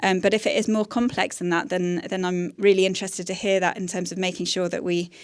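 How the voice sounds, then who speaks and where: A woman's voice: on the low side, flat delivery, a little fast. Hesitant woman answering audience questions. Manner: hesitant, many fillers. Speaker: seminar speaker answering questions. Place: seminar room.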